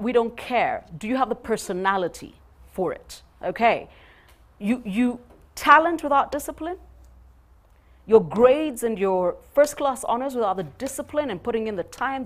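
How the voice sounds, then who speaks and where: Middle-aged woman from Kenya, guest speaker on a panel, emphatic and punchy delivery. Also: a little high. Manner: emphatic, punchy, animated gestures. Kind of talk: motivational panel talk. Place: studio set.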